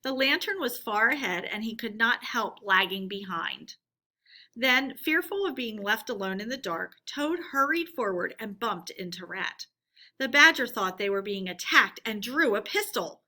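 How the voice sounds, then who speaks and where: Bright-voiced woman reading a children's story aloud. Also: a little high, lively intonation, unhurried.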